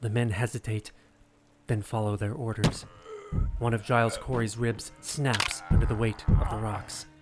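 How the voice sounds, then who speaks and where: A man delivering scripted dramatic narration for an audio drama in a grave, deliberate way with suspenseful phrasing. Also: fairly low pitch, flat delivery. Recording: narration over prominent music.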